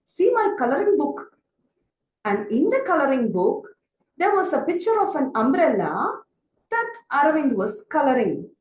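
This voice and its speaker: Woman telling kids a story, real high voice that swoops up and down, echoey room. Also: lo-fi sound. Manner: expressive, deliberate, asks listeners questions. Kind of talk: animated children's storytelling.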